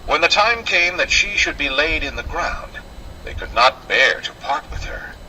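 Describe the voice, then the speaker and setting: A man's voice: on the high side, big pitch swings, normal speed, bright, forceful tone, slight background noise, low-quality recording. A man narrating a scripted fairy tale in a dramatic, old-fashioned storytelling manner.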